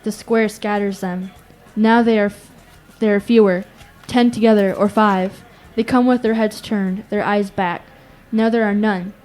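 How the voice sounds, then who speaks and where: A woman's voice: mid-range voice, fairly even intonation, unhurried, hardly any pauses, a little mellow, close to an everyday voice. A teenage girl playing an urgent announcer in a verse radio play, declaiming in short phrases as she watches a city fall. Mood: tense watching. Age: teens. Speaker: student actor. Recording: music behind the voice.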